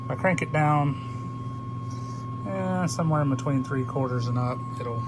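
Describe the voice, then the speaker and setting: A man's voice: medium pitch, moderate pace. Man chatting casually over a noisy recording. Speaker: CB radio hobbyist demonstrating a repaired radio. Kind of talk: casual radio chat and demonstration. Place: indoor electronics workbench. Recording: clearly noisy workbench recording, background hiss.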